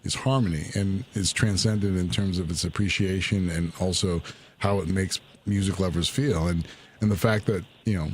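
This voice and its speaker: A low-voiced man in a radio studio, chatty and self-deprecating. A faint room hum sits behind his voice. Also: steady tone, normal speed. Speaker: studio co-guest. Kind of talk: casual chat.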